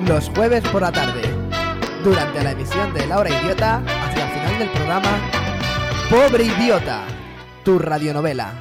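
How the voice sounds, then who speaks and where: A man's voice: fairly high pitch, lively intonation, moderate pace. A man narrating a radio soap promo in portentous, clipped dramatic phrases. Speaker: radio serial announcer. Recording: loud music over voice. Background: loud music.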